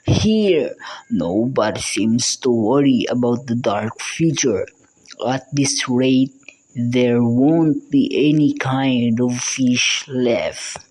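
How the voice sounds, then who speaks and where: An actor playing a sly crane in a puppet show, speaking in a solemn, deliberate way. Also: mid-range voice, a little mellow, slight background noise, close to an everyday voice, low-quality recording. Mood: mournful, pious. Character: sly old crane feigning guilt and sorrow, scheming killer. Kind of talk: puppet show storytelling dialogue.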